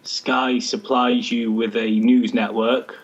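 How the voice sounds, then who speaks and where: Monotone British man, lo-fi sound. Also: medium pitch, takes it slowly. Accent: British English. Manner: monotone, hesitant with fillers. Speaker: show regular, social media head. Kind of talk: casual football chat.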